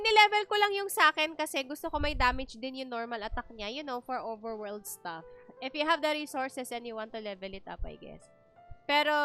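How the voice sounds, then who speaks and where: High, bright Filipino woman streaming games. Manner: chatty, explanatory, switches between two languages. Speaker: game streamer. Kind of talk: casual livestream game commentary.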